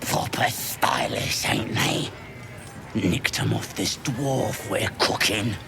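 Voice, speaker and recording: A man playing a dim, chatty goblin looter in a video game, with a croaky voice and an English working-class accent. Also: lowish voice, takes it slowly, noisy background. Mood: cheeky, showy. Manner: chatty, uneducated. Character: dim, cheeky goblin looter with a secret soft side. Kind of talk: video game character acting.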